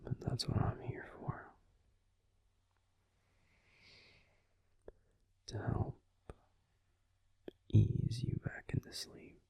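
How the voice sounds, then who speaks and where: Casting: male actor for a tender, grumpy young werewolf boyfriend in a bedtime audio roleplay, whispered, soothing, very slow delivery. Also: no echo, natural voice. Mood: tender, protective. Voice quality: breathy whisper. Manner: gentle, soothing, unhurried reassurance with pauses. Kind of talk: whispered ASMR sleep-aid roleplay. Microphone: close, dry microphone.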